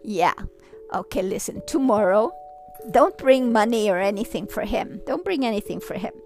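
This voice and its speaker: A woman doing a kind, gentle ghost. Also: mid-range voice, lively intonation, moderate pace, soft background music, close to an everyday voice. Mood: reassuring. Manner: gentle, reassuring, coaxing. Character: kind friendly male ghost helper.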